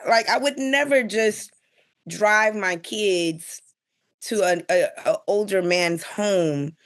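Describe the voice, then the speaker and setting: A woman's voice: medium pitch, takes it slowly. A middle-aged woman speaking bluntly and emphatically in a casual panel discussion as a guest.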